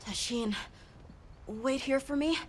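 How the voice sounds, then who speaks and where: An actress playing an earnest young boy in a video game, hesitant but brave, with a halting delivery. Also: high voice, darkish tone, a little hiss or hum. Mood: quiet resolve. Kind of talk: video game cutscene acting.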